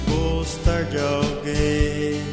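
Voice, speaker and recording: A woman singing a slow, plaintive hymn. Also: sits low, monotone. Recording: echoey, with loud guitar accompaniment.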